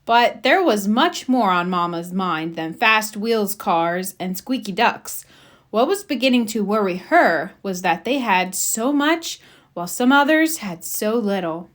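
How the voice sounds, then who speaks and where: A woman reading a children's storybook aloud, with expressive, emphatic narration for young listeners. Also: medium pitch, unhurried. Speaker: storybook reader.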